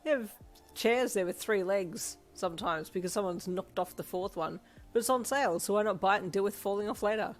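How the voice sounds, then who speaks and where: A woman voicing a cheeky, casual young girlfriend in a story, with a deadpan, informal way of talking. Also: mid-range voice, brisk, soft background music, pitched above the performer's own voice, natural voice.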